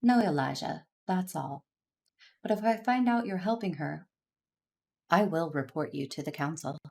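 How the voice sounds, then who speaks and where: Low-voiced, stern Jedi master. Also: female voice, lively intonation, moderate pace, gravelly, a little mellow. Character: stern, wounded female Jedi master, suspicious. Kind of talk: audio drama narration and dialogue.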